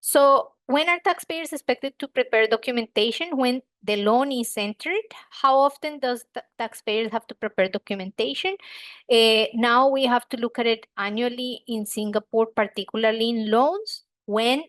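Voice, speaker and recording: A woman's voice: a little high, normal speed, fairly bright tone, dry recording. Woman presenting a professional webinar, dry sound. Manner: explanatory, emphatic, poses questions then answers them. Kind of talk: professional webinar lecture with audience questions.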